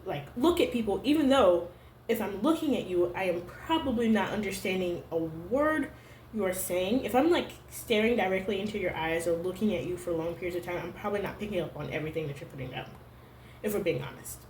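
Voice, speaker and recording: A young adult woman vlogging to camera at home, talking in a halting, searching way full of "like" fillers. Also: mid-range voice, expressive, a little mellow, a little hiss or hum. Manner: hesitant, halting, heavy use of "like" fillers. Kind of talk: personal confessional vlog. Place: room at home. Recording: roomy home echo.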